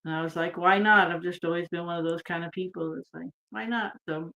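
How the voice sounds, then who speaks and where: A woman from Texas, talking as a guest on a video-call podcast in a relaxed, rambling way. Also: lowish voice, brisk, muffled recording. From United States (Texas). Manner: relaxed and rambling, trailing off with fillers. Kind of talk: casual interview chat.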